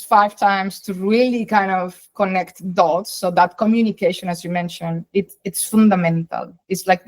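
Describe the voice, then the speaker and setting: A woman's voice: medium pitch, rough recording. A middle-aged woman from Colombia, a native Spanish speaker with a Colombian accent, giving long emphatic answers as an interview guest. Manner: emphatic, explains in long flowing runs with rephrasings. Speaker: guest, data science executive.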